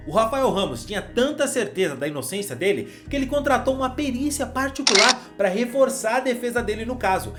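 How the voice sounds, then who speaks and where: Brazilian man giving casual football commentary. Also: sits high. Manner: conversational, addresses viewer with slang. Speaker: football channel host.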